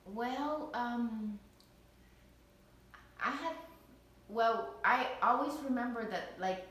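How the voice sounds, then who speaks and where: A woman's voice: fairly high pitch, slow, fairly bright tone. A woman from Vietnam being interviewed as a parent, speaking hesitantly with many fillers and restarts. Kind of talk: casual recorded interview. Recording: echoey room, distant mic.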